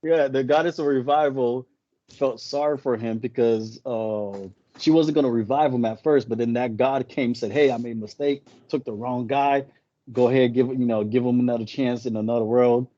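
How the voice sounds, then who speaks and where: A man with a mellow, warm voice retells a story in a loose, rambling way, stopping often to correct himself. English is not his first language. The sound is dry and a little muffled. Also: medium pitch, fairly even intonation, normal speed, dry recording. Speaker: co-host. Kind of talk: casual podcast chat.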